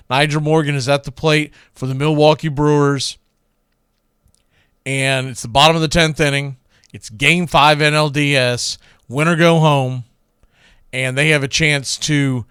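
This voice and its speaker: Clear, smooth male radio host, fairly high. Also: fairly bright tone, dry recording, clean studio sound. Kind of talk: introducing classic sports clips, casual radio talk.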